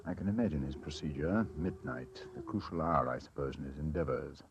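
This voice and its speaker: An actor playing an incisive, composed English gentleman detective in a 1940s radio drama, speaking with authority. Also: a bass voice, lively intonation, background noise. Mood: pensive. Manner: crisp, authoritative, deliberate. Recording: muffled old radio recording.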